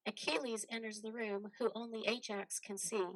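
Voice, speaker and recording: A woman's voice: fairly high pitch, steady tone, normal speed, fairly bright tone, poor audio. A woman narrating a play, reading out stage directions as scripted dramatic narration.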